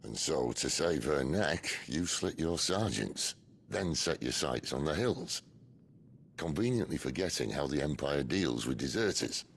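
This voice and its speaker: An actor playing a worldly, gruff middle-aged outlaw leader in a video game cutscene, speaking in a dry, wry, unhurried way. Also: male voice, extremely low pitch, lively intonation, slight background noise, full character voice. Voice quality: gravelly. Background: orchestral game score.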